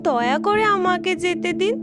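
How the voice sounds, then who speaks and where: A woman's voice: extremely high pitch, animated, moderate pace, loud music behind the voice. A woman voicing a small young female bird in a cartoon, frightened, plaintive and pleading.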